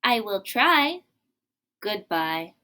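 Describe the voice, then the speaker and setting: A woman voicing an excited little pig girl who wants to fly, in a children's storybook reading, speaking eagerly and chanting. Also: mid-range voice, very slow, exaggerated voice.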